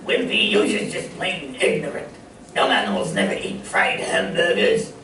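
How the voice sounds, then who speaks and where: Gruff, chuckling cartoon sailor played by a man, pitch leaping about, very echoey stage. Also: big pitch swings, normal speed, crowd noise, close to an everyday voice. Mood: scornful. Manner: chuckling, folksy, ungrammatical sailor talk. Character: gruff, good-hearted cartoon sailor man with mangled grammar. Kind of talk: old radio comedy acting. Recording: roomy stage recording, far mic, faint noise.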